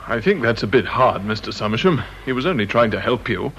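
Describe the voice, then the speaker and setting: A man's voice: mid-range voice, animated, fairly quick, fairly bright tone, husky, muffled recording. An actor playing a mild, wry male colleague in a radio drama. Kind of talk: British radio drama acting. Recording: old radio recording with faint noise.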